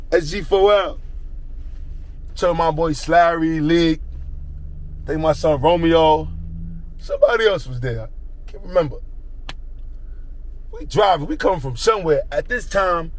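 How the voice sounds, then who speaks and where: A man's voice: fairly high pitch, low-quality recording. A young man telling a rambling street story in slang, circling back to a repeated catchphrase.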